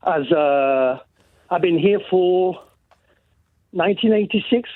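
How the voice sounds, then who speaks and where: A man calling in to a radio show, his voice sitting high for a man. He speaks eagerly but stumbles into halting restarts. The line sounds tinny and dry. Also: no room sound. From France. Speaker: caller. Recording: phone line.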